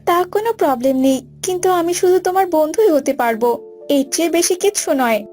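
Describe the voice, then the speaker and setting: A woman's voice: extremely high pitch, expressive, fairly quick. A woman voicing a timid, earnest young bride in a cartoon, with a pleading manner. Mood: timid, hesitant. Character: distressed young bride, tearful and timid college sweetheart. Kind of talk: cartoon dialogue acting. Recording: loud music bed behind voice.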